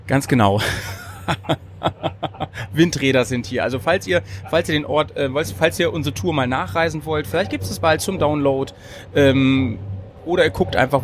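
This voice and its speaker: A man's voice: a little high, noisy background. A man hosting a podcast, talking in a bubbly, rambling way with frequent laughs, recorded on a train. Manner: bubbly, giggly, rambling with fillers. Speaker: podcast host. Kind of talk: casual chat.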